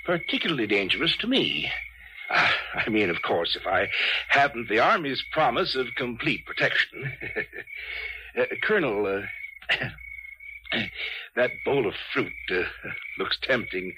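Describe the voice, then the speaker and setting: An actor playing a nervous, ingratiating, greedy doctor, hesitant and mannered, in an old-time radio drama. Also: male voice, medium pitch, big pitch swings, a little slow, pauses often. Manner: hesitant, mannered, repeating himself. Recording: noisy vintage radio recording.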